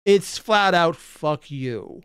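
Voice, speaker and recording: A man's voice: a little high, lively intonation, takes it slowly, a bit bright, smooth, no echo, studio quality. A man giving casual, explanatory commentary as a reaction host, in a blunt manner.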